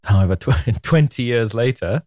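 A man's voice: mid-range voice, expressive, normal speed, a little mellow, dry recording, studio quality. A man in his forties from Zimbabwe, a podcast guest telling his life story in a candid, reflective way and laughing easily. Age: 40s. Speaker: podcast guest, media co-founder. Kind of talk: relaxed interview storytelling.

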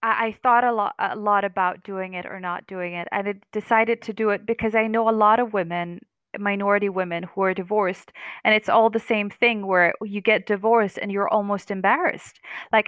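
A woman poet speaking in an interview, earnest and reflective, with quick restarts. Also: mid-range voice, a bit bright, dry recording. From Denmark. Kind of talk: conversational interview.

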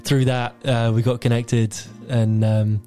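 Mellow man, relaxed and halting. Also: medium pitch, soft background music. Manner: relaxed, hesitant, many fillers. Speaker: musician guest. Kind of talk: casual radio interview.

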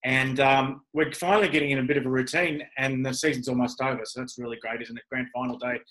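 A man's voice: mid-range voice, steady tone, fast, a bit bright, low-quality recording. A man hosting a podcast interview in a casual, chatty, self-deprecating and rambling way. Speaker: podcast host.

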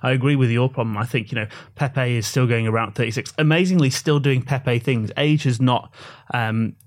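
A man's voice: mid-range voice, normal speed. A man hosting a casual sports podcast in a medium voice. He keeps the chat light and jokey and steers it from one topic to the next. He talks loosely and easily. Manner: jokey, leads the talk and hands over to guests. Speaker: podcast host.

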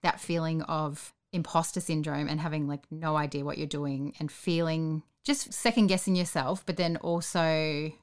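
A woman's voice: deep voice, fairly even intonation, moderate pace, hardly any pauses. A woman hosting a casual conversational interview, talking in a reflective, affirming way. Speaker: interviewer, podcast host.

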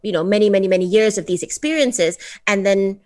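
A woman speaks as a counseling guest, her voice sitting at an ordinary middle pitch. She explains things patiently and thoughtfully, leaning on fillers like "um" and "you know" as she works through each point. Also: a little fast, a little mellow. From Malaysia. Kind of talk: conversational interview answers.

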